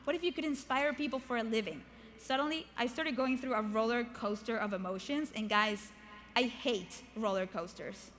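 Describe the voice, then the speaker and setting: A young woman, not a native English speaker, giving a lively motivational keynote on a large arena stage, chatty and asking the audience questions. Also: on the high side, normal speed, over music.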